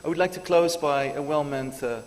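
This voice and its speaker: A man's voice: a little high, normal speed. A man giving an enthusiastic conference speech, hesitant with many uh fillers. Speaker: conference speaker. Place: large conference hall. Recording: hall reverb, faint room noise.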